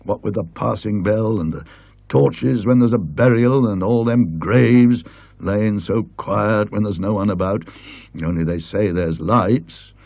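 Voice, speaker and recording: Blunt teasing village blacksmith played by a male reader, rustic English accent, storybook tale, mellow husky voice. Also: lowish voice, measured pace, no room sound, muffled recording. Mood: insinuating. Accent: rural English. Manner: rustic, insinuating. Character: blunt, teasing village smith, a gossipy countryman.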